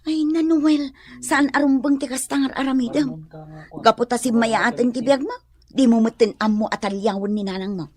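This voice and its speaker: Actress as fretful young ilocano village girl in a radio drama, really high voice, outspoken, exclaims a lot. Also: normal speed, darkish tone, close to an everyday voice. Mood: scolding.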